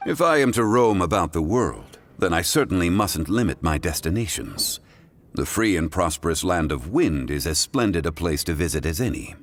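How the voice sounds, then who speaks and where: An actor playing a calm, erudite, old-fashioned gentleman in a video game, speaking in a formal, deliberate way. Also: deep voice. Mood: courteous, composed. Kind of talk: scripted video game voice acting. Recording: game audio with music behind.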